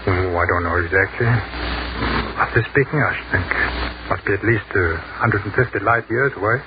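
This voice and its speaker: A man acting a thoughtful, educated army captain in a 1940s radio drama, speaking in measured, articulate phrases. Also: low-pitched, natural voice. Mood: calm, explanatory. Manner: measured, articulate, eloquent speech. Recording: noisy muffled 1940s radio recording.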